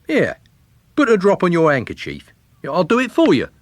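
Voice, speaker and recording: A man's voice: sits high, huge pitch swings, moderate pace, no echo, voice pushed up. A man voicing a cheeky, pushy young lad in a story reading, playful in manner. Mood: coaxing. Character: cheeky young lad coaxing his girl.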